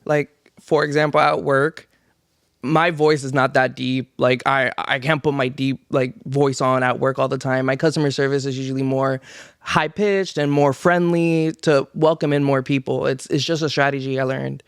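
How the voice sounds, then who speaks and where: A man's voice: medium pitch, little pitch movement, normal speed, clean tone, no echo, clean studio sound. A man who is a guest on a podcast, speaking in a confident, matter-of-fact way. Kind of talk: casual chat.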